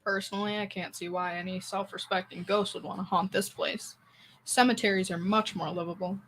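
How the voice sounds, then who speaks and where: A woman's voice: mid-range voice, steady tone, fairly quick, a bit bright, a little hiss or hum, natural voice, poor audio. A woman playing a wry, wisecracking male musician neighbour in an old-time radio comedy style. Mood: wry, grumbling.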